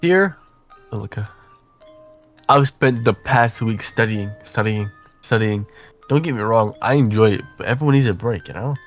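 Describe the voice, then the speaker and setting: A man's voice: lowish voice, lively intonation, normal speed, pauses often, faint music behind. A man voicing a chatty, affectionate young female letter writer in a visual novel, conversational and self-deprecating. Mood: cheerful.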